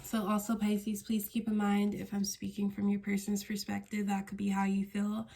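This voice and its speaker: A stuffy-nosed woman hosts a casual tarot reading in a mellow, flat tone. She meanders, searching for words and revising herself mid-thought. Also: medium pitch, normal speed, gravelly, slight background noise. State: congested, stuffy nose. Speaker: tarot card reader.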